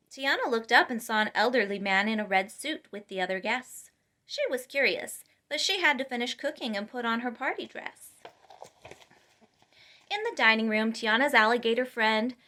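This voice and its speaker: Bright, higher-voiced woman reading a storybook. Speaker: storytime reader. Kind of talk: expressive read-aloud of a children's storybook.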